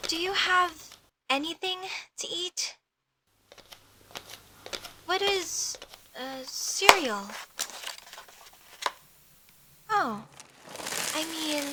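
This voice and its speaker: A woman playing a curious, outspoken young female elf mage in a comedic audio roleplay, questioning things in a halting, slightly haughty way. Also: sits high, heavy background noise. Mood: skeptical.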